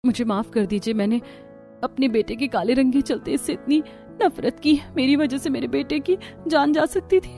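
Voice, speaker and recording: A woman's voice: high voice, normal speed, mellow, soft background music. A woman voicing a remorseful young mother in a cartoon story, pleading and emotional as she begs forgiveness.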